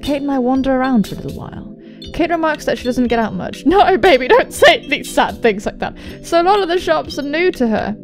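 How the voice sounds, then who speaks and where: A young woman reads a visual novel aloud for a gaming video, her voice on the high side and very animated. She breaks into jokey, sweary commentary as she goes, with some music behind her. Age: young adult. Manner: expressive, sweary, jokey asides.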